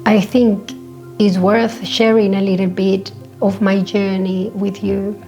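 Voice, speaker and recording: A woman with a Spanish accent speaks over music in a narrow, even pitch range. She is a native Spanish speaker, delivering a personal podcast monologue. Her manner is reflective and earnest. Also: slow pace, creaky. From born in Colombia, grew up in Spain. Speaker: podcast host.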